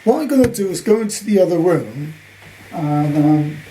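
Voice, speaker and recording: A man's voice: a little high, lively intonation, normal speed, warm tone. A man giving an informal, rambling hands-on showcase as a collector, with jokey wordplay, recorded in a room at home. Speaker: vintage radio collector presenting his purchase. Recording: clearly noisy home recording.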